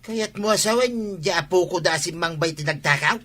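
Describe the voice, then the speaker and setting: An actor plays a questioning village husband in a radio comedy. His voice is fairly high and sharp, and he speaks with an Ilocano accent. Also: a little fast. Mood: questioning, indignant.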